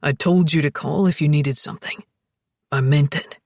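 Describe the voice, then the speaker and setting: Give this audiobook hero as a woman reader plays him a much lowered, husky voice. He is a steady, protective man who speaks firmly and directly, urgent but soothing. The sound is close and dry. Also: moderate pace, no echo. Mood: tender, reassuring. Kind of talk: audiobook character dialogue.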